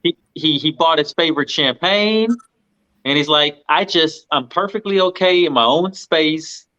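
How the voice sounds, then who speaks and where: A man's voice: fairly high pitch, a little slow, sharp tone. A man chatting casually as a panelist in a movie discussion, swearing now and then and acting out quotes. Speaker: film podcast panelist. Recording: dull lo-fi sound.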